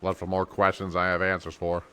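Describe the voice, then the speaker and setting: A man's voice: low voice, completely flat delivery, fast talker, pushed and bright, smooth. A man giving casual commentary as he streams a game.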